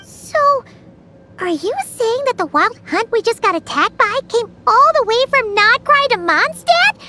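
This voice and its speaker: A woman playing a tiny, childlike fairy companion in a video game, with a squeaky, perky, curious voice. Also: sing-song, a little slow, sharp tone, full character voice. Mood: puzzled. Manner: speaks of self in third person, perky.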